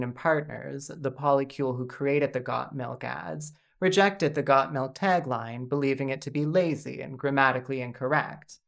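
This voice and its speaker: A woman hosting a video essay with scripted comedic narration, deadpan and sarcastic. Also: sits low.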